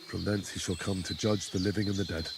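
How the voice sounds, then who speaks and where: An actor playing a devout medieval man, slowly reciting a prayer in a measured, reverent way. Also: sits low, vocal fry, noisy background. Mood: solemn. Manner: measured, reverent, steady chanting. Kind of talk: slow solemn prayer recitation. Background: game music.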